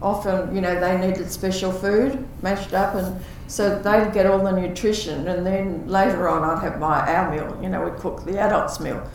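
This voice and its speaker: A woman's voice: fairly low pitch, steady tone, normal speed, few pauses. Older woman, earnest, in an echoey room. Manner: earnest, reflective, with restarts and quoted dialogue. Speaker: former carer, guest. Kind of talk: reflective conversation. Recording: echoey room, far from the mic, faint noise.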